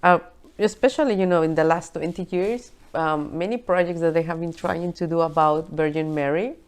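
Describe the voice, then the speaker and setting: A woman interviews a guest in a smooth, lowish voice. She keeps the talk going with many soft murmurs of agreement and gentle prompting questions. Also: moderate pace. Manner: steady back-channeling, prompting questions. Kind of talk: casual interview.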